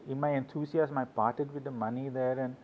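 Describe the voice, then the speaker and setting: Halting author, dull recording. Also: male voice, medium pitch, steady tone, a little hiss or hum. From Sweden. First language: Swedish. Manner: rambling, anecdotal, halting restarts. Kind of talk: casual interview talk.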